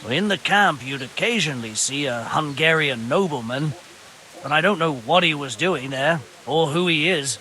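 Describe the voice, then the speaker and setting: High-pitched, tense captured henchman. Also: lively intonation, moderate pace, pushed and bright, low-quality recording. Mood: defensive. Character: captured male brigand's henchman, fearful, fatalistic commoner. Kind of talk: video game cutscene acting.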